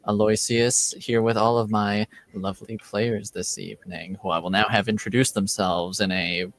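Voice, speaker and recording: A man's voice: lowish voice, steady tone, normal speed, no room sound. A man speaking as game master and host, introducing a tabletop roleplaying show.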